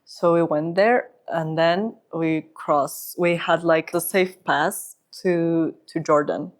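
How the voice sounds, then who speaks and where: A woman's voice: lowish voice, steady tone, slow. A woman from Ecuador with an Ecuadorian Spanish accent, telling personal stories as an interview guest. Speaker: podcast guest, humanitarian psychologist.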